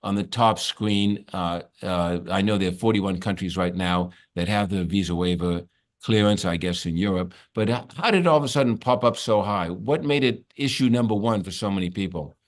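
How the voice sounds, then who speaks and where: A man hosting an interview, asking long, halting questions full of restarts. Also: low-pitched. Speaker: interviewer and host. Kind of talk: conversational interview.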